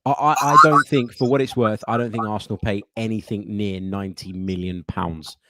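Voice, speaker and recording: A man's voice: on the low side, steady tone, sharp tone, dry recording, studio quality. Chatty man hosting a call-in podcast. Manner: brisk, chatty, calls people mate. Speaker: podcast host taking callers. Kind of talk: casual call-in football chat.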